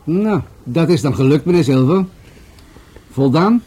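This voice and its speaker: An actor playing an unruffled police commissioner in a radio drama, dryly ironic and measured as he questions people. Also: on the high side, animated, warm tone, slightly rough. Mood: wry, unruffled.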